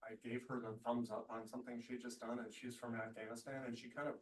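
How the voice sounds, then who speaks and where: A man's voice: medium pitch, little pitch movement, fast talker. A man telling a story from his tutoring with a hesitant, thoughtful manner. The room adds echo, and he sounds far from the mic. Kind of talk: sharing a personal story. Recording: roomy, distant mic.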